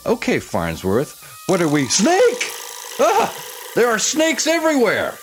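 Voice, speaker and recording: An actor playing a pompous, excitable ghost of an old-time explosives salesman, narrating an audio musical in grandiose, old-fashioned language. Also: sits high, a little slow, soft background music, full character voice. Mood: flustered.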